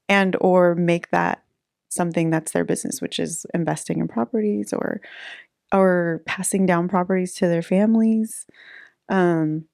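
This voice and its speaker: A woman's voice: on the low side, gravelly, darkish tone, no echo, studio quality. A woman in her thirties, a real estate agent chatting casually in an interview, laughing easily between fillers. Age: 30s.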